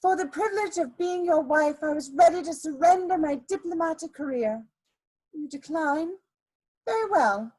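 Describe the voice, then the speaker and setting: A woman's voice: high voice, normal speed, a little mellow, natural voice, poor audio. A woman playing a scheming, seductive society adventuress in a dramatic reading of a period comedy, with theatrical, coquettish emphasis. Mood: cool, menacing.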